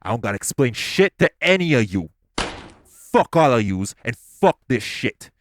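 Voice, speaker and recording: A man's voice: medium pitch, big pitch swings, slight background noise, natural voice. A man voicing a volatile, foul-mouthed male gangster boss, in an audio roleplay. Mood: angry. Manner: foul-mouthed, defiant, quick to anger.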